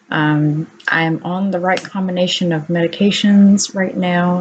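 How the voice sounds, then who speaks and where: A woman with a naturally deep voice talking candidly at home to her camera in a casual video diary, unhurried and full of ums. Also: darkish tone, a little hiss or hum, poor audio. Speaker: vlogger.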